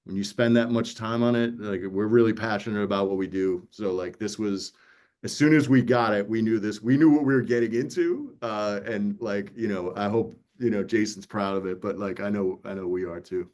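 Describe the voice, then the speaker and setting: A man's voice: fairly low pitch, moderate pace, darkish tone. A man talking casually and earnestly as an audiobook producer in a panel conversation, explaining things with plenty of "you know" and "like".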